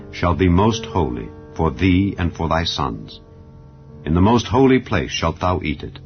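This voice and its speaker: A man narrating scripture as a dramatized reading, in a solemn, measured and formal manner. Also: sits low. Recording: dull lo-fi audio under music. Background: background music.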